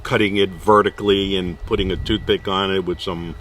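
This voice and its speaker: Low-voiced man host, halting and opinionated. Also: steady tone, a bit bright, slight background noise. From Brooklyn. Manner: hesitant, many uh fillers, opinionated. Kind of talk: casual interview.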